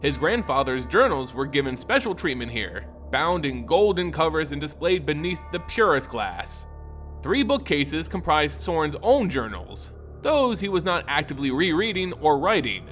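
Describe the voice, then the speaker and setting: Dramatic male storyteller with swooping pitch. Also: sing-song, moderate pace, fairly bright tone, soft background music. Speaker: storyteller and lore narrator. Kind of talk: dramatic scripted story narration.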